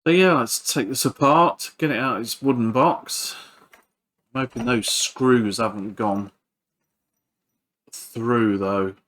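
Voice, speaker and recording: A man giving casual hands-on repair commentary as a video host, halting and thinking aloud while he works. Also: mid-range voice, fairly bright tone.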